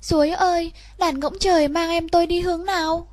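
A woman's voice: very high-pitched, steady tone, brisk, a little mellow, pitched above the performer's own voice. A woman voicing an anxious, pleading little girl in a storybook fairy tale. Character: anxious little girl searching for her brother. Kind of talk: storybook reading.

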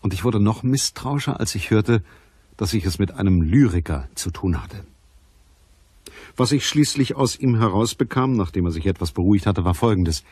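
An actor playing a sceptical private detective in a radio play, speaking in a dry, measured, matter-of-fact way. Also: male voice, lowish voice, lively intonation, a little mellow, slightly rough, dry recording. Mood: dry, narrating. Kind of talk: radio play narration and dialogue.

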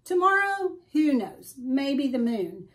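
A woman voicing an excitable, complaining talking pet from a storybook, insistent and exclamatory. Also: high voice, takes it slowly, pitched above the performer's own voice, exaggerated voice.

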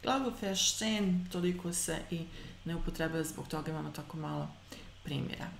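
A woman in her thirties teaching an online German lesson. She says German example sentences slowly and carefully and explains between them in quick asides, recorded on a webcam. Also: low voice, gravelly, reverberant, a little hiss or hum.

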